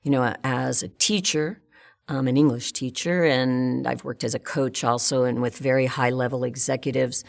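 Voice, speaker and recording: A woman's voice: extremely low pitch, steady tone, moderate pace, creaky. An older woman, a guest in an interview, talking frankly and thinking aloud with fillers. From United States. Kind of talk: casual interview chat.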